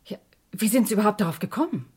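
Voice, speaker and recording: A woman playing an indignant, strong-willed mother in a German radio crime drama, husky and emphatic. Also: medium pitch, sing-song, fast, a little mellow. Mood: indignant, defensive.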